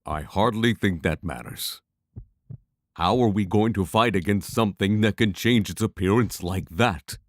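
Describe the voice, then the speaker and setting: A man plays a worried, skeptical adventurer in a fantasy audio drama. The voice is low and slow, hesitant and fretful, with a very dry studio sound. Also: dry recording, lightly acted.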